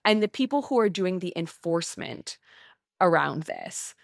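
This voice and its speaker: A woman's voice: lowish voice, lively intonation, normal speed, creaky, no echo, clean studio sound. A woman hosting a history podcast, explaining earnestly in casual chat with frequent "like"s. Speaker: podcast host.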